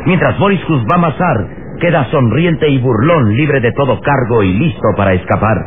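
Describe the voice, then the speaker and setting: A man's voice: mid-range voice, animated, normal speed, close to an everyday voice. A man narrating a vintage radio adventure serial in a grave, dramatic storytelling manner. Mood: suspenseful. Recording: noisy muffled old radio serial.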